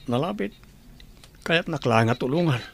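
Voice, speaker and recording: A man's voice: fairly high pitch, lively intonation, moderate pace, vocal fry, a bit bright, slight background noise. A man with an Ilocano Filipino accent playing a sorrowful, ailing husband and father in a radio drama, earnest and plaintive. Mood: earnest pleading.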